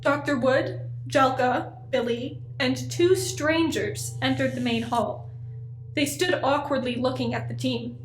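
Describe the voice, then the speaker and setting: A woman's voice: sits high, fairly even intonation, a little slow. A woman reading scripted story narration as the narrator of a radio play. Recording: echoey room, faint music.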